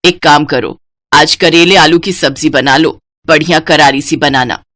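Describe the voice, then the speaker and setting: Woman putting on a fussy elderly mother-in-law, pitched down. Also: steady tone, measured pace, fairly bright tone, dry recording. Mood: scolding, dissatisfied. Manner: complaining, bossy.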